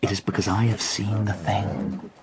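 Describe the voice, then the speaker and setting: A man's voice: lowish voice, little pitch movement, moderate pace, a crowd in the background, lightly acted, poor audio. A man acting in a radio drama as a reckless, obsessive gambler, theatrical and philosophising, pressing insistent questions. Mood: feverish conviction. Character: reckless, obsessive male gambler urging on his companion.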